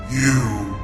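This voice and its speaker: A man voicing a kind, sorrowful old male dragon bound as a guardian, speaking softly and slowly with sad pauses in an audio drama. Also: on the low side. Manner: slow, soft and kindly, with sad pauses. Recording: roomy reverb with music under it.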